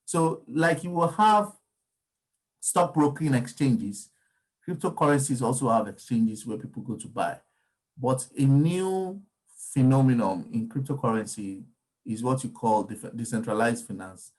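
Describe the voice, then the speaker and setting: A man's voice: mid-range voice, expressive, a little slow, darkish tone, poor audio. Man explaining markets. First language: Yoruba. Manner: discursive, frequent ums and restarts. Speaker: crypto market commentator. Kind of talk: casual explanatory talk.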